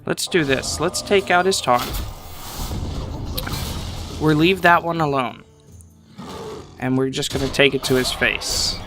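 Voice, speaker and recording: A man commentating his card game match casually, thinking aloud at an unhurried pace, his voice a little congested from a sinus infection. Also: mid-range voice, over music.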